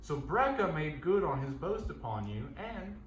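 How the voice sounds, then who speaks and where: A man's voice: a little high, big pitch swings, moderate pace. A man playing an envious, scornful warrior, declaiming taunts in theatrical verse with emphatic delivery. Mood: scornful, taunting. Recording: roomy echo, faint noise.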